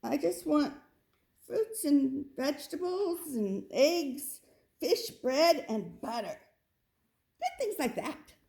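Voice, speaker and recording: A woman's voice: high-pitched, sing-song, slow pace, creaky, darkish tone, roomy sound, pitched above the performer's own voice, exaggerated voice. A woman voicing a fussy, whiny young boy goat from a children's picture book. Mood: earnest, pleading.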